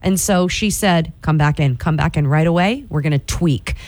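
Woman in her forties, radio host, chatty ad read, self-mocking, lowish voice, dry close sound. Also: moderate pace, darkish tone, no echo. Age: 40s. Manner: bubbly, confiding and self-mocking, with playful swoops up in pitch. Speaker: radio morning show host.

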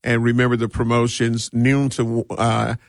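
A man's voice: medium pitch, monotone, a little slow, gravelly, no echo. An American man hosting a radio show from a studio, chatting casually and playfully as he promotes events. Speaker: radio host and DJ.

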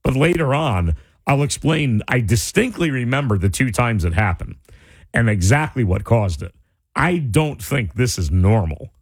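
Male radio host, animated, incredulous, voice going, dry close studio mic. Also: medium pitch, husky, dry recording. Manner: animated, incredulous, quick asides. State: voice starting to go. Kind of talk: casual radio chat. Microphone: studio microphone.

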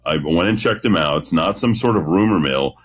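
A man with a very deep, low voice talks on a late-night radio show. He sounds sure of himself and tells his story with emphasis, leaning on dramatic pauses. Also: lively intonation, husky. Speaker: radio guest calling in. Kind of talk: talk radio chat.

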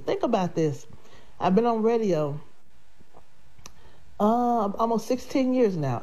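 A woman's voice: medium pitch, low-quality recording. Chatty woman radio host, nasal. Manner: warm, grateful, chatty, with laughs. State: nasal from draining sinuses. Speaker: radio host selling life insurance. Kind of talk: casual radio talk.

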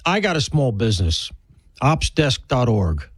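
An older man from Alabama with a deep Southern accent, hosting and joking wryly with his guest. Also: very animated, measured pace, breathy edge, dry recording, clean studio sound. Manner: wry, teasing, self-deprecating. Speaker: show host. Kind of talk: joking interview banter.

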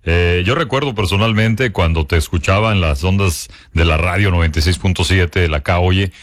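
A man's voice: deep voice, moderate pace, no echo, studio quality. A man conducting a conversational interview, affirming his guest and feeling his way through his questions.

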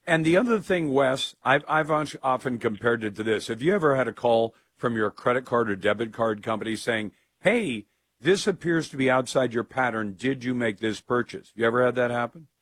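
A man hosts a call-in radio show, his voice hoarse from a cold. He talks in an opinionated, conversational way and cuts in on callers. The studio sound is close and dry. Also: medium pitch, expressive, fairly bright tone, no room sound. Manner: opinionated, conversational, quick to jump in. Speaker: radio talk show host. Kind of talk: talk radio, taking calls. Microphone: studio microphone.

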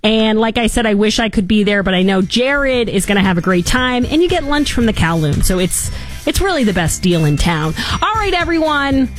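A woman's voice: a little high, sing-song, normal speed, soft background music. A woman hosting a talk radio show, upbeat and promotional, interviewing a caller. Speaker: radio host.

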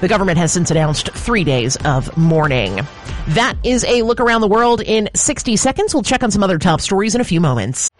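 Lively chatty news anchor. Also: female voice, mid-range voice, brisk, faint music behind. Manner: lively, chatty, jokey asides. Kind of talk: morning news anchoring with casual banter.

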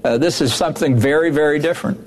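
Measured male diplomat guest. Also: a little high, a little hiss or hum. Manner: measured, repeats words as he starts sentences. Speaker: former ambassador, guest. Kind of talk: news interview.

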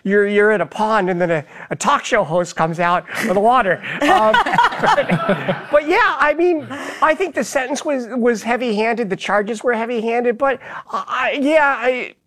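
A man's voice: very high voice, lively intonation, unhurried, sharp tone, slight background noise. A man on a panel show, joking in a laid-back way before giving his view. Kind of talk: comedic panel talk.

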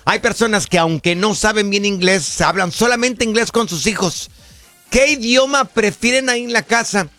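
A man hosts a radio show in a lively, upbeat way with a fairly high voice. He speaks straight to his listeners, and soft music plays underneath. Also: moderate pace, dry recording. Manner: lively, addresses listeners directly. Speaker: radio host. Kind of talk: casual radio talk show.

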